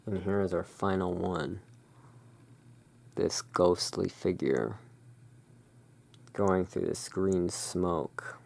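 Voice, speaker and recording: Slow, low, creaky ASMR voice. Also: fairly even intonation. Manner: soft, unhurried, soothing. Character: relaxed, friendly owner of a gothic curiosity emporium. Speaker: ASMR performer playing a curiosity shop owner. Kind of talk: soft-spoken ASMR roleplay.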